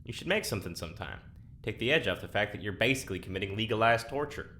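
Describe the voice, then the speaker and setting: A man in an audio drama playing a wry, casual test subject with a dry, deadpan manner. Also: lowish voice, quick pace, a little hiss or hum. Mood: casual, probing.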